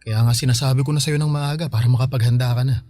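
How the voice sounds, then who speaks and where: A man playing a gentle, reassuring uncle in a Filipino radio drama. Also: medium pitch, fairly even intonation, quick pace, no room sound, studio quality, soft background music, lightly acted. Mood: gently regretful. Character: gentle, reassuring uncle leaving to work abroad.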